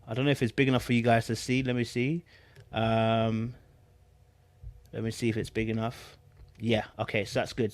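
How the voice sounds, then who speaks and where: A man's voice: mid-range voice, no echo. A man with a London accent hosting casual online commentary, reading aloud and reacting in informal, slangy speech with emphatic repetition. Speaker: YouTube commentary host.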